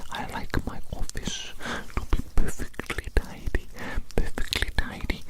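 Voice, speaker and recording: ASMR performer, fully whispered, breathy and soothing, playing a fussy, fascinated doctor who murmurs phrases over and over. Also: unhurried. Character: fussy, fascinated doctor studying a little creature. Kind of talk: whispered ASMR medical roleplay.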